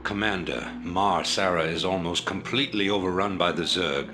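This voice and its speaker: An actor playing a stern rebel commander who gives formal, measured orders in a video game cutscene. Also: male voice, low-pitched, music in the background, close to an everyday voice. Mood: commanding. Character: stern rebel leader, middle-aged man, commanding.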